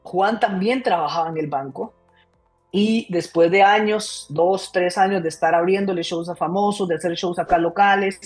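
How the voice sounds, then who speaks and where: A man in his thirties from Costa Rica, a comedian guest telling his life story in a casual, lively interview. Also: sits high, moderate pace, faint music behind. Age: 30s.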